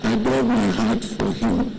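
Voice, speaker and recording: A man delivers a formal address at a public ceremony, speaking slowly and with weight. His voice sits a little high. Steady noise and passing traffic hang behind him. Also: gravelly. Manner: measured, solemn, quotes scripture.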